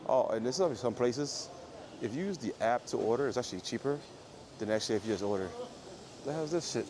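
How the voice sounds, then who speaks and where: A man with a low voice chatting casually and rambling to his livestream viewers as he walks through an airport terminal. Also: lively intonation, fast talker, a crowd in the background. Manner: rambling, joking, talks quietly. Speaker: IRL livestreamer. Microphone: phone or camera carried while walking. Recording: noisy phone livestream audio.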